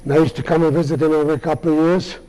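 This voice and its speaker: Older man, halting speech, mellow tone, fairly high voice. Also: flat delivery, low-quality recording. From Lithuania. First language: Yiddish. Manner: halting, many pauses and restarts. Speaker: Holocaust survivor speaker. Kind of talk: answering audience questions.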